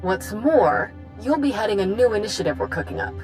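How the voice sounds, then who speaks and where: A confident female head of a galactic republic, performed by an actress for a video game cutscene. She is brisk and wry, speaking in a middle register. Music plays behind her. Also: medium pitch, expressive, natural voice, rough recording. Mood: wry, persuasive. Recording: music under the voice.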